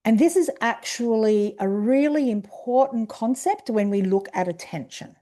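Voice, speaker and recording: A woman with an Australian accent giving an online presentation over a video call, explaining ideas step by step in a measured way. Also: a little high, mellow. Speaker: webinar presenter.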